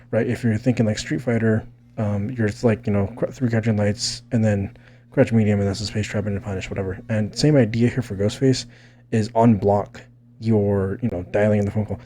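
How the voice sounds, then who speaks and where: Low, mellow-voiced man explaining game tactics. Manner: rambling, thinks aloud, tags points with "right?". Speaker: gaming video creator explaining techniques. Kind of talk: casual fighting game tutorial commentary.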